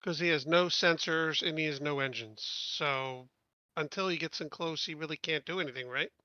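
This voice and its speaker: A man's voice: on the high side, normal speed, sharp tone, dry recording, lo-fi sound. A man chatting casually as a player in a tabletop roleplaying game.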